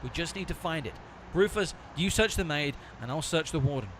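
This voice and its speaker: A man's voice: on the high side, lively intonation, fairly quick, a bit bright, a little hiss or hum. A man playing a righteous, exasperated investigator in an amateur comedy audio drama, scolding and sarcastic. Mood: brisk, dry.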